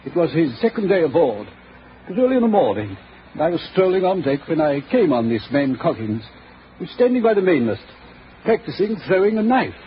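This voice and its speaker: An actor playing an evasive, defensive gentleman suspect in a 1940s radio drama. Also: a little high, sing-song, fairly quick. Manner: hesitant, defensive. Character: evasive gentleman suspect, eager to shift blame. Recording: muffled, noisy old radio recording.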